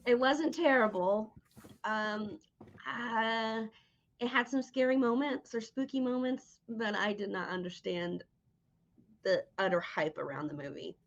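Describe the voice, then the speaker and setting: A woman's voice: fairly high pitch, measured pace, muffled recording. A woman hosting a casual interview, asking questions and chatting about her own opinions. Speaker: interviewer and host.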